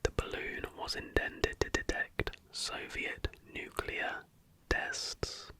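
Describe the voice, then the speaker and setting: Slow, hushed ASMR whisper. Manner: whispered, slow, unhurried delivery. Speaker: host of an ASMR channel. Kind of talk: ASMR, softly whispered reading of facts with casual asides.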